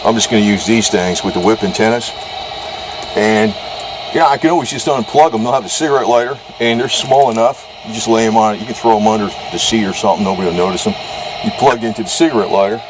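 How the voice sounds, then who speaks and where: A man's voice: on the low side, quick pace. A man giving an unscripted product demo in a casual, rambling, informal way with the odd mild swear. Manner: rambling, informal, mildly profane. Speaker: gear reviewer. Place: under a makeshift car garage. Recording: noisy outdoor handheld recording, radio hiss.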